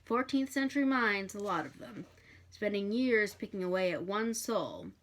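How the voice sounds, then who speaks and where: Female reader for expressive fiction narration, mid-range voice, storytelling delivery. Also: mid-range voice, normal speed. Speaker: bookshop reader.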